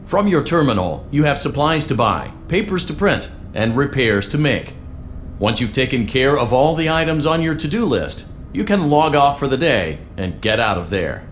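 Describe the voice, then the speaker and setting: A man's voice: mid-range voice, big pitch swings, normal speed, fairly bright tone, a little hiss or hum. A man voicing an upbeat, salesy corporate training narrator in a video game, with a peppy, encouraging manner. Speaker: tutorial guide for a pizzeria franchise. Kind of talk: scripted tutorial narration. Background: music.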